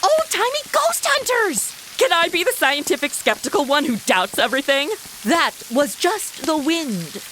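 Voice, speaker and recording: A woman playing a flippant, excitable young American sidekick with a sarcastic, slangy way of talking in a comedic audio drama. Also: sits high, normal speed, few pauses, slight background noise, full character voice, low-quality recording. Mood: excited, teasing.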